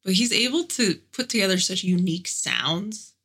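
Woman, podcast co-host, casual chat, enthusiastic, bright voice, mid pitch. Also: lively intonation, normal speed, slightly rough. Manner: gushing, enthusiastic.

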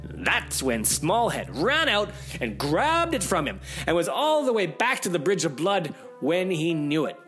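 Slow, very expressive male storyteller. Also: sits high, soft background music. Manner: dramatic, theatrical storytelling. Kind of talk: expressive scripted fairy tale narration.

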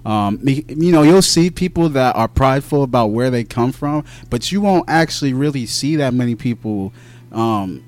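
A man hosting a casual interview, laid-back and halting, with many restarts. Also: mid-range voice, lively intonation, a little mellow. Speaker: podcast host.

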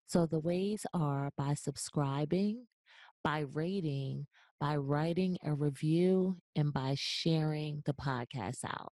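A woman's voice: deep voice, slow, a little mellow, no room sound. A woman hosting a podcast interview, enthusiastic and chatty, often repeating her praise. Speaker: podcast host. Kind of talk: casual interview, upbeat.

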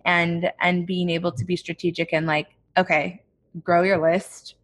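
A woman with a somewhat low voice talks about building her business in a relaxed, reflective way. Her speech is chatty and loose, with plenty of "like" fillers. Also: a little slow. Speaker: entrepreneur guest. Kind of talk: casual interview talk.

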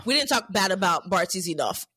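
A woman with a mid-range voice talks casually and with conviction, repeating phrases for emphasis. She sounds plain and steady. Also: mid-range voice, a little fast, slightly rough. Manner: emphatic, repeats words for stress. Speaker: podcast co-host. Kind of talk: casual chat.

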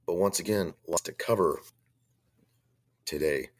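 A man hosts a faith-based talk radio show with a very low voice that a chest cold has made hoarse. He talks bluntly and conversationally and gives his opinions freely. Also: unhurried.

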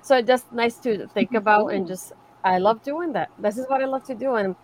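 Woman podcaster from Puerto Rico, quick delivery, mid-range pitch, emphatic conversational style with faint background noise. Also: poor audio. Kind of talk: casual panel chat.

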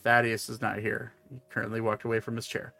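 A man acts as game master, improvising narration for a tabletop game. His voice sits in a middle register and he talks conversationally, stopping to rephrase. The sound is dry, with soft music underneath. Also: medium pitch, completely flat delivery, creaky, no echo. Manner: halting, conversational, self-correcting.

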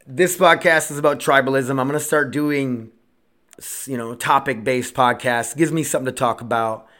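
A man's voice: medium pitch, fairly even intonation, moderate pace, pushed and bright. A man in his late thirties hosting a casual solo podcast, rambling and restarting his sentences, swearing freely. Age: 30s. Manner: rambling, profane, self-interrupting. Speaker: podcast host.

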